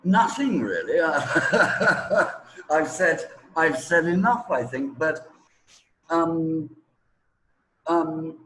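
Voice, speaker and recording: A man's voice: on the high side, sing-song, extremely slow, long pauses, fairly bright tone, a little hiss or hum, poor audio. A man giving explanatory interview answers as a teacher, emphatic and repeating words for stress.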